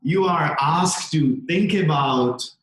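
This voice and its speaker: A man's voice: on the high side, slow pace, background noise. Czech-accented man around forty, roomy sound. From Czech Republic. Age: about forty. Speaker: interview guest, tech entrepreneur. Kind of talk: conversational interview.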